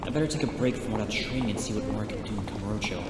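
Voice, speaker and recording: A man's voice: on the low side, steady tone, very fast, noisy background, natural voice. A man acting an earnest, upbeat young martial arts trainee in an amateur audio drama, musing aloud. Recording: very echoey reverb, music underneath.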